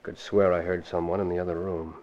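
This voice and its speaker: An actor playing a jumpy, suspicious criminal in an edgy, blunt 1940s radio drama style. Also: male voice, low voice, little pitch movement, quick pace, darkish tone, lightly acted. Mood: wary. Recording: dull vintage 1940s radio recording.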